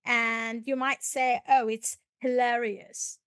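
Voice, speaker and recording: A woman hosting a casual, conversational language lesson as the teacher, expressive and often prompting and repeating words. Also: a little high, slow, clear, resonant tone, no room sound, studio quality. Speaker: English teacher and podcast host.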